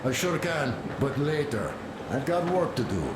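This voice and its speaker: An actor playing a patient, gently strict man teaching handiwork in a game, with an instructive manner. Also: medium pitch, expressive, close to an everyday voice. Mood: indulgent. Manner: slow, instructive. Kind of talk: game cutscene dialogue. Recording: echoey, noisy ambience.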